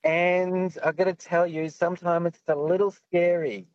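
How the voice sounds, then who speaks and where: A man's voice: high-pitched, flat delivery, moderate pace, no echo. A man calling a radio show by phone, chatting casually in a camp, familiar, teasing manner. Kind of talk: casual chat. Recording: dull phone call.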